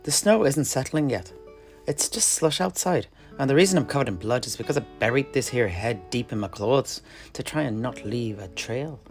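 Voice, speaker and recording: A man's voice: mid-range voice, expressive, normal speed, faint music behind, close to an everyday voice. Man acting a breezy, swooping alchemist. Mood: unbothered, folksy. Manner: folksy, breezy, dialect grammar. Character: cheerful brash wandering male alchemist, blood-spattered, unbothered. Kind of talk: in-character audio drama dialogue.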